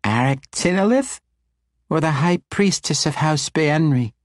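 A man acts out a tiny, imperious baby girl in a fantasy storybook. The voice is squeaky and raised high, close and dry, with precise grown-up phrasing and a taunting edge. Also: animated, unhurried, no echo. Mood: impatient, taunting. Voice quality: squeaky, babyish. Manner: imperious, impatient, precise adult diction.

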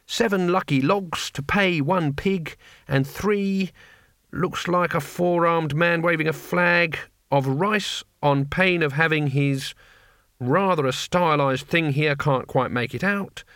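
A polite, bumbling old man, acted by a male narrator, slow and halting as he gropes for each word. His pitch swings in a lively way, dry and close, in fantasy audiobook style. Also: no echo, exaggerated voice. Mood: puzzled. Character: courteous, bumbling goat-faced old man, stumbling foreign speaker.

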